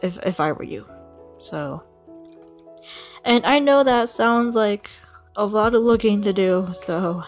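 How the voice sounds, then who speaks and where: A young woman talking casually in a vlog, hesitant, with frequent stutters and restarts. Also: mid-range voice. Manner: hesitant, stutters and repeats herself. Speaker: vlogger. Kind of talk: casual, unscripted vlog talk.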